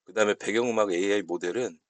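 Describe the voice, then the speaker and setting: A man explaining software settings step by step in an unscripted tutorial, speaking politely as an instructor. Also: on the low side, very fast, dry recording.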